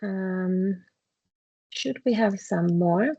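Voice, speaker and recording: A woman with a Finnish accent teaching a language class, explaining patiently with frequent pauses and fillers. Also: mid-range voice, dark tone, slightly rough, lo-fi sound. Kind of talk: classroom lesson explaining grammar.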